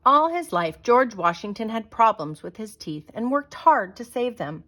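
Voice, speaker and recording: A woman reading a children's picture book aloud as a storyteller, with dramatic, deliberate delivery. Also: a little high, very animated, pushed and bright.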